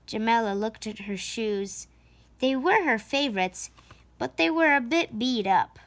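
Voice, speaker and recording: Slow, high-voiced woman reading a storybook. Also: lively intonation. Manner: slow, deliberate, with emphatic phrasing. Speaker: storyteller reading a picture book aloud. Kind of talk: expressive children's read-aloud narration.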